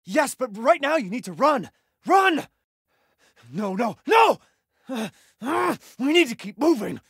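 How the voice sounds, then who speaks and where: A man's voice: very high voice, sing-song, a little slow, fairly bright tone, full character voice. An actor playing an intense, emotional father who is desperate to protect his grown daughter in a video game. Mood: urgent, desperate. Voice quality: raspy, gravelly. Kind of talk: dramatic video game voice acting.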